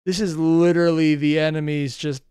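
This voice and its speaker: A man's voice: a little high, flat delivery, moderate pace, dark tone, clean tone. A man giving casual reaction commentary, tossing in speculative asides and swearing freely. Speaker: reaction channel host.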